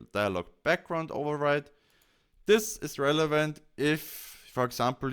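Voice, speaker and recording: A man presenting a software tutorial as a voice-over, explaining things step by step in plain, short instructional phrases. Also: mid-range voice, clear, resonant tone.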